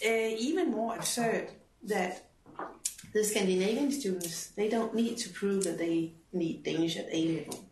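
A middle-aged woman who works at a university, commenting in a seminar discussion. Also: medium pitch. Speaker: university staff member in discussion. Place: classroom, indoors. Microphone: distant room microphone. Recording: distant, echoey room with clear noise.

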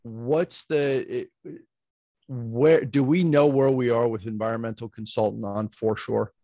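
A man chairing a public commission meeting over a video call, speaking in a measured, halting way with many fillers and restarts. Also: mid-range voice, steady tone, mellow, no room sound.

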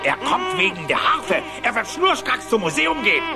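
A man voicing an excitable, miserly old cartoon duck with a theatrical, exclamatory delivery. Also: extremely high pitch, moderate pace, music in the background, full character voice. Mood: frantic.

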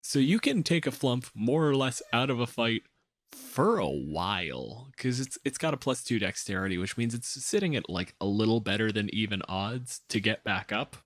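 A man's voice: mid-range voice, lively intonation, moderate pace, dry recording. A man in casual, analytical podcast discussion of game rules, speaking emphatically and articulately. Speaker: podcast panelist.

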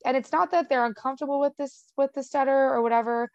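A woman's voice: sits high, fairly even intonation, quick pace, no echo. Young woman who stutters, dry dull audio. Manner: stutters with repeated sounds and blocks, fillers like "um" and "like". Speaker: interview guest. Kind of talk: casual conversational interview.

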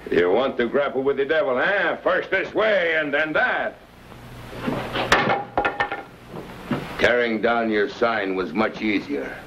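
A menacing, mocking villain, acted by a man in an old Western. His middle-pitched voice, through a muffled, very noisy film soundtrack. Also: big pitch swings, moderate pace, close to an everyday voice. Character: menacing, mocking French Cajun trade boss, villain. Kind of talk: 1950s Western screen acting.

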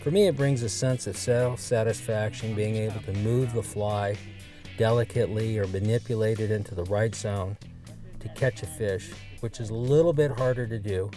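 A man giving calm, measured scripted narration for an outdoor show. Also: fairly low pitch, music in the background.

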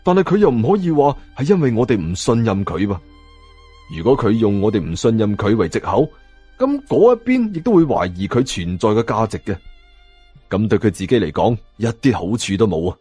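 A man's voice: fairly low pitch, sing-song, fairly quick, dark tone, husky, faint music behind. A man with a Hong Kong Cantonese accent narrates a story in colloquial first person, acting out the dialogue as he goes. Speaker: audiobook narrator.

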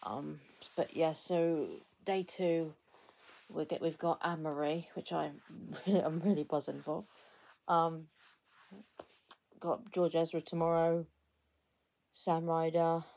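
A young woman talking to her phone in her bedroom late at night, her voice hoarse and fading from screaming. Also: low voice, flat delivery, slow, long pauses, mellow. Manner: chatty, tired late-night talk. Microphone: phone camera held close.

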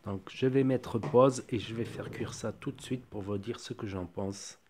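A man with a stuffy cold talks through a food tasting at his kitchen table. His voice sits a little low, and he keeps a loose, thinking-aloud manner full of small fillers. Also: a little slow, darkish tone, slight background noise. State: has a cold. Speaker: food reviewer. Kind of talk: casual product tasting review.

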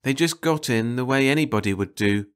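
A man's voice: medium pitch, fairly quick, clean tone, voice pushed up, lightly acted. Man acting an uneasy, nervous roadside car-shop man. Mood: explaining. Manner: nervous, self-reassuring. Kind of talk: audiobook character voice.

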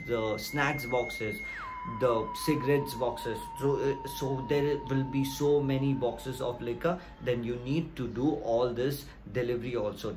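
A man, explaining things to viewers in an emphatic, repetitive, direct way. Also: medium pitch. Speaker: video host giving career advice. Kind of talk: explainer vlog to camera. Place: small plain room indoors. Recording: music behind the voice.

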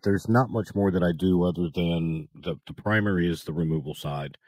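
Guest, middle-aged man, deep voice, dry close studio-mic sound, animated teasing storyteller. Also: normal speed, dry recording. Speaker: beekeeping guest. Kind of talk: casual interview chat. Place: small studio room with acoustic panels. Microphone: large studio mic on a boom, video call.

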